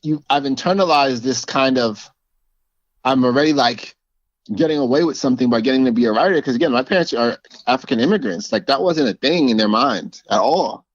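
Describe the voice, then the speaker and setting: A man's voice: medium pitch, a little fast, dry recording, muffled recording. A man, an author and teacher, talking thoughtfully in a casual conversation, often restarting his sentences.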